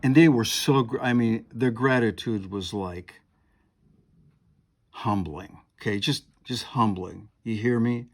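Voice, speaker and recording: A man's voice: on the low side, slow. A man in his seventies, a piano and harp player, talking at home in a meandering, chatty way with lots of fillers and asides. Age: 70s. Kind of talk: rambling monologue to camera.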